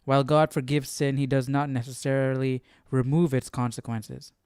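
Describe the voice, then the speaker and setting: A man's voice: medium pitch, little pitch movement, normal speed, a little mellow, clean tone, no room sound, clean studio sound. A man hosting a livestreamed study session, giving casual, reflective commentary and questioning things as he thinks aloud. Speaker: Bible study livestream host.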